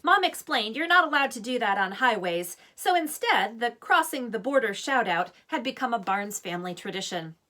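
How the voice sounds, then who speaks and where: A woman author reading her children's novel aloud as expressive scripted narration. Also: fairly high pitch, moderate pace, few pauses.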